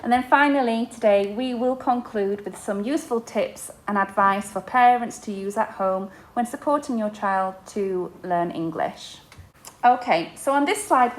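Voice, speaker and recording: A young woman teacher talks parents through a school presentation in an easy podcast manner. She explains step by step, often pausing on fillers and restarting phrases. Her pitch sits in a middle range for a woman. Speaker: inclusion teacher.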